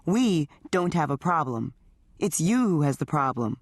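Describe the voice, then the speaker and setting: A woman's voice: low-pitched, sing-song, a little slow, dry recording, clean studio sound, exaggerated voice. A woman voicing a resentful married man who talks in a hostile, sarcastic tone. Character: resentful, bitter married man in his forties.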